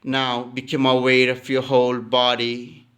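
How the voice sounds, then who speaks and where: A man leading a guided relaxation as a yoga teacher, calmly giving instructions and repeating phrases. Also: medium pitch, a little slow, clear, resonant tone.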